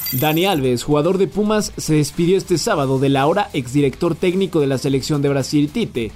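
A man hosting a sports news podcast, reading the day's football stories in a brisk, scripted way. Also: fairly high pitch, soft background music. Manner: brisk, even headline delivery.

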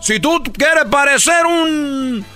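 Male comic as a boastful Cuban ballplayer with a put-on Cuban accent, high-pitched, teasing, faint music underneath. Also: lively intonation, takes it slowly, bright, forceful tone, full character voice. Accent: Cuban Spanish put on. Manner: joking, teasing, rambling. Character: boastful Cuban baseball player man, cocky.

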